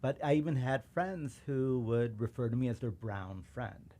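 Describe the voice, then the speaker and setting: A man's voice: medium pitch, animated, moderate pace, warm tone. A man from suburban Chicago whose first language was Urdu, a guest telling his experiences in an earnest, reflective way. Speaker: podcast guest, civil rights lawyer. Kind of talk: casual interview chat.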